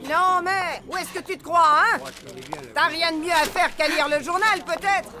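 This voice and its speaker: Shrill-pitched nasty tavern woman. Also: fairly even intonation, moderate pace, background noise. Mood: scolding. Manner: scolding, mocking. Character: nasty, bossy, gloating tavern keeper woman. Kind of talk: dubbed cartoon acting. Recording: music and crowd bustle behind.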